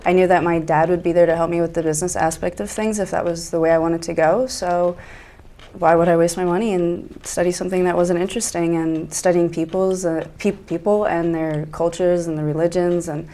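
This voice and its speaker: A woman's voice: on the low side, steady tone, a little fast, mellow. A woman in her twenties from Egypt, talking earnestly and enthusiastically as a guest in a casual talk show conversation. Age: 20s.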